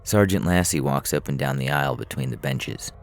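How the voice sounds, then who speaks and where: Extremely deep, gravelly male story narrator. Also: fairly quick. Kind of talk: scripted fiction narration. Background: music.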